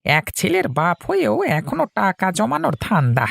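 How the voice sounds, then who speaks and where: A woman voicing a cheerful, hungry, doting village husband in an animated cartoon story, chatty and coaxing. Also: medium pitch, normal speed, creaky, no echo, full character voice. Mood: eager, chatty.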